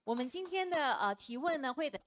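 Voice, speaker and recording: High-voiced woman host. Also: lively intonation, fast. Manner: brief and polite. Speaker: bilingual host. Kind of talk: brief moderating remarks.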